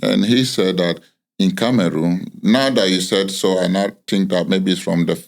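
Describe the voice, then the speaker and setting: A very low male voice, hosting a football podcast. He speaks in a measured way, building long reflective questions. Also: fairly even intonation, gravelly. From Nigeria. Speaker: podcast host.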